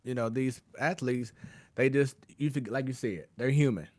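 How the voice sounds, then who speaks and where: A man's voice: mid-range voice, normal speed, dry recording. A man hosting a casual sports talk show, chatting loosely with plenty of fillers and restarts.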